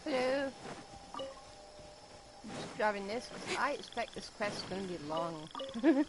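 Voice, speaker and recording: A woman streaming a video game, making relaxed, quiet comments to herself as she plays. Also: mid-range voice, measured pace, noisy background. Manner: relaxed, muttering asides to herself. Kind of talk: casual gameplay commentary. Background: game music.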